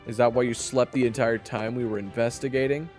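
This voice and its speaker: A man's voice: medium pitch, fairly even intonation, fairly quick, a little mellow, big-room sound, faint music behind, lightly acted. A man playing a wry, sarcastic young adventurer who also narrates a fantasy audio roleplay. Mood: irritated. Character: young male adventurer hero, wry, irritable trainee and narrator.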